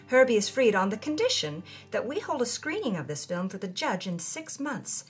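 A woman hosting a story podcast, reading journal entries in a wry, expressive way. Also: a little high, normal speed, soft background music. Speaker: host and narrator.

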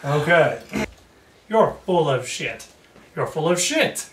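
A man's voice: a little high, very animated, slow, fairly bright tone, recorded from a distance. A young man in casual, joking banter, self-deprecating and sarcastic, recorded in a room at home. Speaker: audio drama voice actor. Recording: roomy, off-mic, faint noise and clicks.

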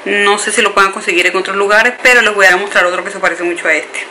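A woman's voice: mid-range voice, steady tone, fast talker, roomy sound, slight background noise. Chatty Colombian Caribbean woman vlogging. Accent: Colombian Caribbean coast. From Caribbean coast of Colombia. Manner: chatty, warm address to viewers as "bellezas", emphatic questions. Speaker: beauty vlogger. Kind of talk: casual chat to camera. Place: home room with shelves and clothes rack, indoors. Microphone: camera in front at close range.

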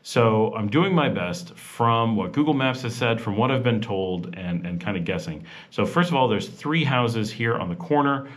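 A man's voice: mid-range voice, lively intonation, normal speed, hardly any pauses. A man hosting a vlog, narrating a walking tour in a casual voice-over that rambles with many fillers and restarts. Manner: chatty and informal. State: rushed. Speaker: vlog host.

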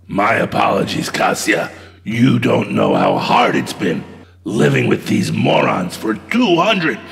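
An actor playing an exasperated, centuries-old zombie colonel in a comic horror audio drama, with a theatrical, menacing delivery. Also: male voice, fairly low pitch, very animated, a little slow, vocal fry, full character voice. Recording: long reverberant hall echo.

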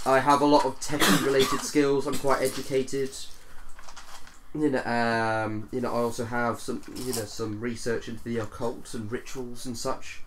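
A man's voice: mid-range voice, little pitch movement, normal speed, a bit bright, rough recording. Rambling man chatting over faint room noise. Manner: rambling, frequent "you know". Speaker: streamer and player. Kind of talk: casual tabletop game chat. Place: living room with a group.

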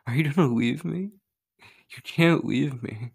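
A male voice actor plays a possessive male stalker in an ASMR horror roleplay. His voice is husky and gravelly, and he speaks slowly and haltingly, moving between breathy menace and clingy pleading. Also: mid-range voice. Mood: infatuated, anxious. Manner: slow, halting, slipping between breathy whispers and urgent pleading.

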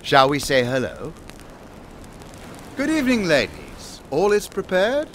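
An actor in an audio drama playing an urbane, menacing island cult leader, politely mocking and grandiose. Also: male voice, on the high side, huge pitch swings, slight background noise. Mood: suave, grandiose. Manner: grandiose, polite, drawn-out taunting delivery.

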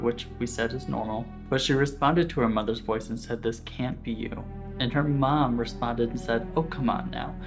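A man in his thirties with an American accent, telling stories casually to camera as a vlog host in a conversational, informal way. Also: medium pitch. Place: small indoor room set. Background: background guitar music.

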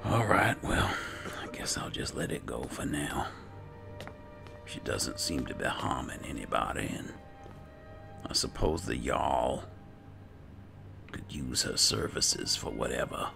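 Uomo dalla voce profondissima e molto roca. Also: male voice, a bass voice, very animated, a little slow, creaky, pauses often, pushed and bright. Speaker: gaming channel host role-playing as an alchemist. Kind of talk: slow, musing in-character game roleplay commentary. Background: game music.

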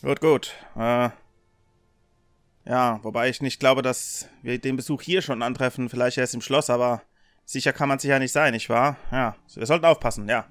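A man's voice: medium pitch, fairly even intonation, moderate pace, fairly bright tone, no room sound, close to an everyday voice. A man voicing an uneasy, politely spoken travelling merchant in a tabletop game, speaking hesitantly.

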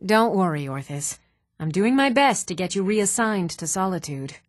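A woman playing poised, articulate townswomen and noblewomen in a fantasy video game, with measured, formal delivery. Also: mid-range voice, animated, dry recording, clean studio sound, close to an everyday voice. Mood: polite, composed. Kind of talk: scripted fantasy game dialogue.

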